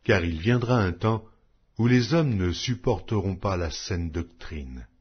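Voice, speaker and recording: Slow, expressive male scripture reader. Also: deep voice, slightly rough, dull audio. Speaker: audio Bible reader.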